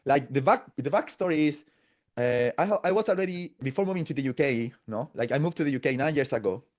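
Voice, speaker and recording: Brief: male guest, 40s, somewhat high voice, chatty and halting with tag questions. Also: animated, a little mellow. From Latin America. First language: Spanish. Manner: restarts a lot, tags phrases with "no?" and "right?". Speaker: podcast guest. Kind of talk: casual interview storytelling.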